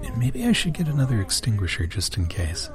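A man's voice: mid-range voice, huge pitch swings, fairly quick, a crowd in the background. A man hosting a radio theater show, giving a jokey, playful scripted introduction.

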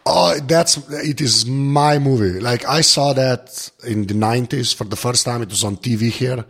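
A tired man with a gravelly voice chatting casually as a podcast guest, rambling in an informal, self-deprecating way. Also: medium pitch, normal speed, fairly bright tone. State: tired, barely awake. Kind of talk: casual chat.

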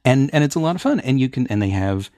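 A middle-aged man chatting casually on a panel, telling things in an enthusiastic, halting way. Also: medium pitch, big pitch swings, darkish tone, no echo, studio quality.

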